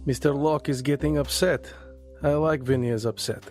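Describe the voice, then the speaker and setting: A man's voice: medium pitch, fairly even intonation, moderate pace, a little mellow, soft background music, close to an everyday voice. A man doing a smug Russian mobster. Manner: terse, broken English, smug. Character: stubborn Russian mobster prisoner, calm and taunting. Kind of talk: audio drama acting.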